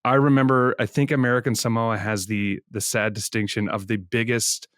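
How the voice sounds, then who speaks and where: A man's voice: medium pitch, steady tone, normal speed, fairly bright tone, no room sound, clean studio sound. A man from the Netherlands hosting a podcast interview, chatty, enthusiastic and self-deprecating. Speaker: podcast host. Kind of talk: casual interview chat.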